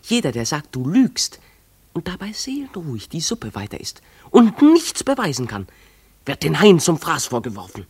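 An actor playing a dreamy, defiant runaway schoolboy in a German radio play, speaking in an imploring, declamatory way. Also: male voice, fairly high pitch, huge pitch swings, normal speed, darkish tone, slightly rough, no echo, heavily acted.

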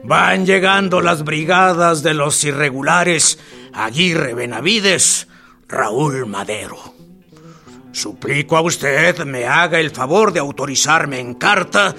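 An actor playing a haughty, scheming Mexican army general in a radio drama, with a creaky voice and a curt, authoritative, drawn-out delivery. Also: male voice, on the high side, expressive, pushed and bright, full character voice. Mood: formal, calculating. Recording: music behind the voice.

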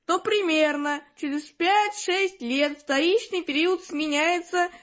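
High-voiced, solemn child. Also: female voice, slow pace, fairly bright tone, highs cut off, full character voice. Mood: solemn, knowing. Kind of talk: scripted audio drama dialogue.

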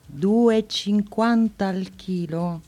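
A woman's voice: medium pitch, slow, warm tone, lightly acted. A woman playing Clara, a chatty, forgetful woman who frets and gets flustered easily, in a radio play. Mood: indignant. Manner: chatty and fretful, exclaims "santo cielo". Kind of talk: radio drama acting.

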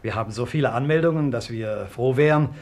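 An actor playing a courteous but curt, dry-humoured husband who runs a guesthouse, in a 1950s German radio play. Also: male voice, medium pitch, quick pace, darkish tone, natural voice. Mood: firm, curt. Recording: noisy 1950s radio archive recording.

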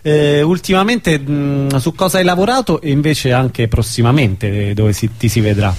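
A man's voice: medium pitch, moderate pace. A man hosting an informal radio interview, prompting his guests with short questions. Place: radio studio.

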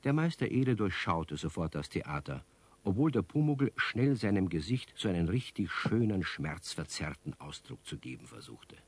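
A man acting as the wry storyteller of a children's radio play, narrating with a husky voice. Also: low voice, big pitch swings, moderate pace, full character voice. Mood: wry storytelling.

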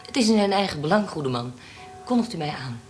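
A woman's voice: on the low side, lively intonation, brisk, faint music behind, close to an everyday voice. A woman in a radio drama playing a bold, haughty baroness with a cool, formal, commanding manner. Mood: haughty, insistent. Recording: noisy radio play recording.

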